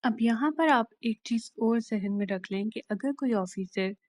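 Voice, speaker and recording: A woman with a Pakistani accent giving an explanatory law lecture in a deliberate, instructional way, restating each point plainly. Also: a little high, no echo. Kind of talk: explanatory lecture mixing Urdu and English.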